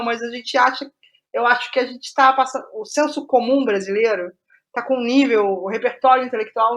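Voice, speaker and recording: Brazilian woman, higher voice, rambling and halting. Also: a bit bright. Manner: digressive, halting, often repeats and restarts words. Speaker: podcast guest, playwright. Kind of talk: casual interview conversation.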